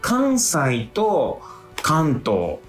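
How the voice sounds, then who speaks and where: A man speaks as a radio guest in a casual, explanatory style with plenty of pitch movement. He has a lightly mock-complaining, conversational manner. The room rings a little and music plays clearly behind him. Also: huge pitch swings, very slow, roomy sound. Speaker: radio guest promoting an event.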